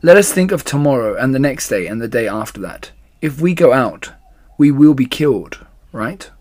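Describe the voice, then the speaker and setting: Man playing a confident, animated gentleman fox. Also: medium pitch, moderate pace. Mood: proud, serious. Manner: persuasive speechmaking. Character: confident, charismatic male fox, leader of the animals.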